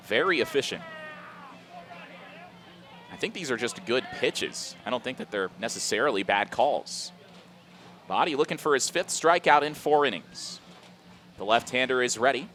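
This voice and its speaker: A man's voice: medium pitch, pushed and bright. A man doing live baseball play-by-play for a radio broadcast from an outdoor ballpark. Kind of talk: live sports commentary.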